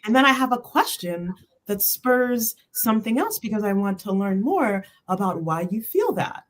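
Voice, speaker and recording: A woman's voice: medium pitch, big pitch swings, moderate pace. A woman chatting casually on a panel, speaking emphatically and acting out her thoughts as quoted dialogue. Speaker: panelist on a spiritual talk livestream.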